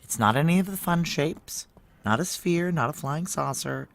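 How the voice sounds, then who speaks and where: A woman playing a gruff, world-weary ex-Ranger security chief in an audio drama, brusque, wry and commanding. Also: sits low, moderate pace. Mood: commanding, dry. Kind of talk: naturalistic audio drama acting with first-person narration.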